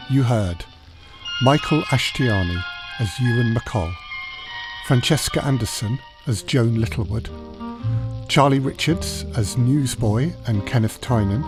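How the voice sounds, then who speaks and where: Audio drama end credits: male announcer, scripted read, medium pitch, music loud underneath. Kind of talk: scripted narration. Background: prominent music.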